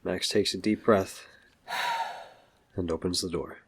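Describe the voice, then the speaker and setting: A man's voice: sits low, fairly even intonation, a little slow, slightly rough, heavily acted. A man narrating a scripted superhero audio drama. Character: narrator of a superhero adventure story.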